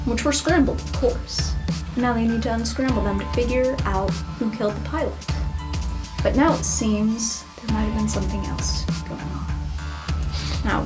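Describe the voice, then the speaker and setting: A woman game master narrating an improvised tabletop story in a measured, explanatory way. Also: fairly high pitch. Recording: music bed behind voice. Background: background music.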